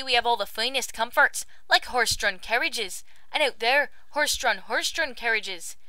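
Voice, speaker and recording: A woman's voice: fairly high pitch, bright, forceful tone, no echo. Woman doing a folksy Scottish cartoon settler pony. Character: folksy boastful male western settler pony, made Scottish.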